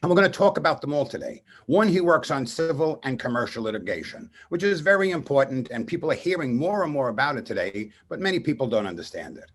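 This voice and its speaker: A man with a husky voice and very lively, swinging intonation hosts a conversational radio show. He speaks emphatically and effusively, often wandering into asides. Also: medium pitch, fast talker, hardly any pauses. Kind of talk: conversational interview and guest introduction. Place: radio studio.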